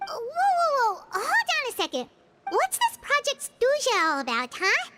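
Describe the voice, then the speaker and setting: A woman voicing a tiny, excitable, curious fairy girl companion with a squeaky, childlike voice in a video game. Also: a little slow, heavy background noise, full character voice. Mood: curious, startled. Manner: excitable, speaks of herself in third person. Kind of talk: scripted game dialogue.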